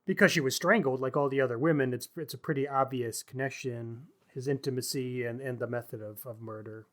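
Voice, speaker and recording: A man co-hosting a book podcast, discussing writing analytically in measured, considered phrases. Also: medium pitch, steady tone, a bit bright. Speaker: podcast co-host.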